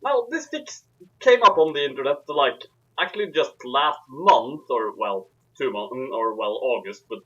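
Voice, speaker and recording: A young man hosting a casual reading show, halting and dry in his delivery, on a lo-fi recording. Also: mid-range voice, animated, pushed and bright. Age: young adult. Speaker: host of a fan fiction reading show. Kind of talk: casual introduction and chat. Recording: dull, lo-fi sound.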